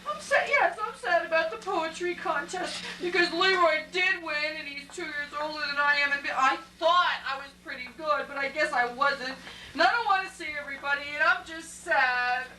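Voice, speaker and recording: A woman's voice: high voice, moderate pace, bright, forceful tone. A woman voicing a plaintive little schoolgirl in an amateur puppet show. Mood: sad, whiny. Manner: childlike, plaintive. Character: sad, eager little schoolgirl puppet, third grader. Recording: echoey, distant live recording with background noise.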